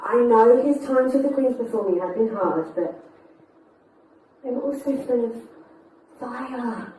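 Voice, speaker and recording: A woman's voice: a little high, mellow, a little hiss or hum, natural voice. An actress playing a young Tudor queen in a stage musical, speaking in casual, modern phrasing. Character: young Tudor queen, chatty, by turns cheeky and tender. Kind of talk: musical theatre monologue and banter. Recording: very echoey theatre, distant, music behind.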